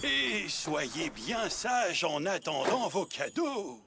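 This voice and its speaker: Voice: mid-range voice, very animated, slow pace, gravelly, very noisy, heavily acted. An actor voicing a kindly, jolly old truck mentor in a children's cartoon, jovial, sing-song and encouraging. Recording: loud music behind. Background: loud cartoon music.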